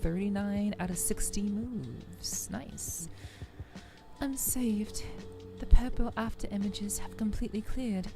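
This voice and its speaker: Woman acting a caring young woman, voice lifted high. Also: takes it slowly, creaky. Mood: relieved, grateful. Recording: music behind the voice.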